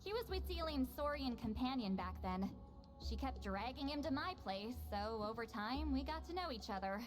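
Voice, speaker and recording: A woman's voice: high-pitched, animated, moderate pace. A woman voicing an aloof, blunt, youthful-sounding shaman who has lived for centuries, in a video game. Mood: guarded. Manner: curt, dismissive, frank. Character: aloof, blunt two-hundred-year-old shaman woman, youthful, prickly. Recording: background music under the voice.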